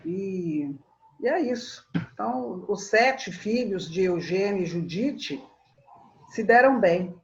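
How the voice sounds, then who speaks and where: A woman's voice: medium pitch, lively intonation, slow pace, a little hiss or hum. Lady in her 60s telling old family stories, laughs a lot, kinda muffled sound. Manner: warm reminiscing, laughs often, repeats words when starting. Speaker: guest storyteller. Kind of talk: reflective conversational storytelling.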